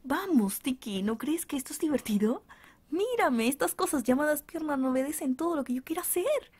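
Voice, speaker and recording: A woman's voice: sits high, lively intonation, brisk, a little mellow, voice pushed up. Woman voicing a cheeky, whiny little cat spirit. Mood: boastful.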